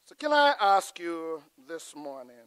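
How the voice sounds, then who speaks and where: A middle-aged pastor preaching a sermon from the pulpit of a large church, swelling to loud emphatic peaks and dropping to a hushed prayerful close. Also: male voice, fairly high pitch, very animated, unhurried, clean tone, no room sound. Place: large church sanctuary with choir behind. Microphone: pulpit mic.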